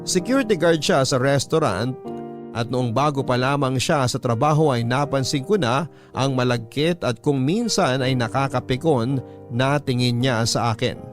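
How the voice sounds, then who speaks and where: A man's voice: mid-range voice, normal speed. A man with a Filipino accent reading a scripted first-person story as a radio drama narrator. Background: background music.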